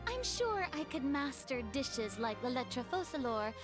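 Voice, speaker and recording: Proud young fallen star, anime game: very high voice, haughty, defensive, theatrical. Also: female voice, very animated, a little slow. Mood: indignant. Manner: haughty, theatrical, formal wording. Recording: music behind game dialogue.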